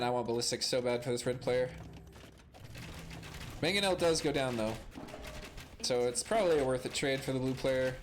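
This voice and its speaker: A hoarse man with a cold commentates a strategy match in a relaxed, casual way. His steady analysis is broken by sudden excited exclamations, and he clears his throat every so often. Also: medium pitch, background noise. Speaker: strategy game caster. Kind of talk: casual play-by-play game commentary.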